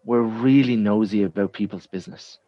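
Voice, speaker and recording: Emphatic Irish man, low dry voice. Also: normal speed, no room sound, muffled recording. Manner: frank, emphatic, repeats himself when making a point. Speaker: interview guest. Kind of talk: conversational interview answers.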